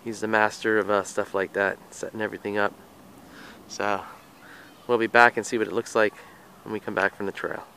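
Bright-voiced man narrating a hike. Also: on the low side, a little fast, long pauses, slight background noise. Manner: relaxed, admiring, speaks to camera while walking. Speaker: hiking vlogger. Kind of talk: casual hiking commentary. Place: outdoors in scrubby woods and swamp trails. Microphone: handheld camera while hiking.

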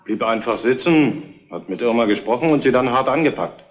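An actor playing a matter-of-fact, gossipy roadhouse witness in a retro crime radio play. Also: male voice, mid-range voice, lively intonation, fairly quick, natural voice.

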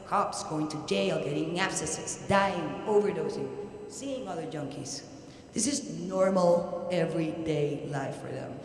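A middle-aged woman with a Puerto Rican accent giving an academic lecture at a podium, halting but emphatic. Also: low-pitched. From Puerto Rico. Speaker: researcher presenting at an academic conference. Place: large indoor university hall, at a podium. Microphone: podium microphone. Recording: very echoey hall, audible background noise.

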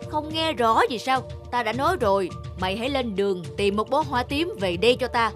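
A woman's voice: fairly high pitch, expressive, fairly quick, music in the background, natural voice. A woman voicing a cruel, scheming middle-aged stepmother in a children's fairy-tale cartoon, scolding and commanding. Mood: harsh, commanding.

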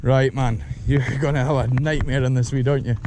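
A man with a Scottish accent, chatting excitedly and swearing while fishing with a friend on a rocky sea shore, recorded on a camera mic. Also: mid-range voice, brisk, background noise. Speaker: fishing vlogger. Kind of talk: excited casual chat outdoors.

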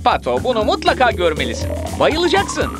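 An excitable cartoon narrator for small children. His pitch swoops widely as he addresses the young viewers with care and exclamation. Loud music plays behind him. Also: brisk. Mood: excited. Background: background music.

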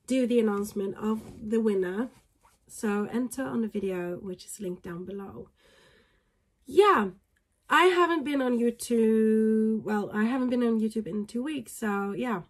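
A woman's voice: medium pitch, husky. A Norwegian woman in her thirties with a Norwegian accent, chatting hesitantly to a camera at home, with lots of fillers and restarts. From Norway. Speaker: equestrian vlogger. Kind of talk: casual talk to camera. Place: small room indoors at home.

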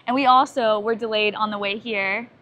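A woman's voice: medium pitch, steady tone, normal speed, dull audio. A woman in her thirties co-hosting a travel vlog, reading airline reviews aloud and joking, recorded on a lapel mic in a big airport terminal. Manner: playful, laughs often. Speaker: travel vlogger co-host. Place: large airport terminal, indoors. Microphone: clip-on lapel mic.

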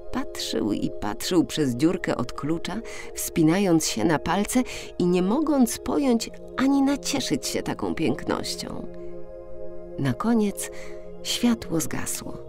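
A storyteller giving an expressive, dramatic scripted narration of a children's audiobook. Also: mid-range voice. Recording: music under the voice. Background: music.

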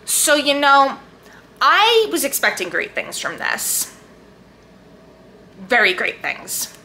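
A woman book vlogger chatting casually and enthusiastically to camera, leaning hard on emphatic, drawn-out words. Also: high-pitched, very animated, pushed and bright, slight background noise. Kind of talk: casual chat to camera about books.

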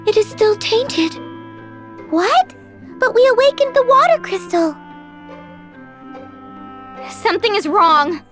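A woman's voice: very high voice, lively intonation, slow, long pauses, a bit bright, faint music behind, heavily acted. A woman playing a sweet, anxious young girl in a fantasy video game, delicate and earnest in manner. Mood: troubled.

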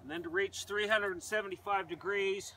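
A middle-aged man hosting a casual cooking demonstration, talking plainly and relaxed while he works with his hands, first in a kitchen, then in an open field. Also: high voice, a little fast, distant mic, heavy background noise. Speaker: outdoor cooking host. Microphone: camera mic at a distance.

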